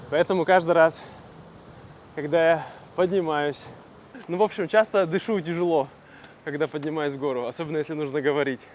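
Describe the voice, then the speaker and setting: A man from Russia vlogging casually to camera, speaking simply and clearly for language learners with easy fillers. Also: fairly high pitch, steady tone, a little fast, a little hiss or hum. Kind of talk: casual vlog talk to camera.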